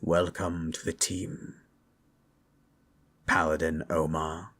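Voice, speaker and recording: A grave old sage from a fantasy audio drama, played by a man. The voice is deep, husky and slow, with formal, deliberate phrasing and a solemn, measured temper. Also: little pitch movement, a bit bright, exaggerated voice.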